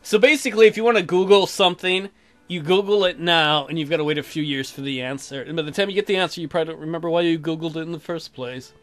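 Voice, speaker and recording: A man's voice: on the high side, fairly even intonation, quick pace, faint music behind. A man hosting a let's play, casually commenting and reading aloud in an irreverent, joking, sweary way.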